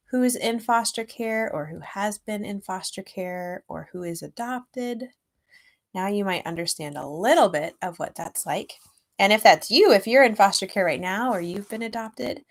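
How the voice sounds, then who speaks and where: A woman reading a children's storybook aloud expressively and gently, with chatty asides to young listeners. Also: mid-range voice. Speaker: children's author reading own book aloud.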